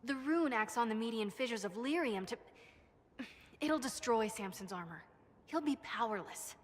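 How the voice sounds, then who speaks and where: A woman's voice: on the high side, animated, normal speed, lightly acted. An actress playing an eager, awkward magical craftswoman in a game, flustered and technical in her talk. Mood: eager, proud. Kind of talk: dramatic game voice acting. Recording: long reverb.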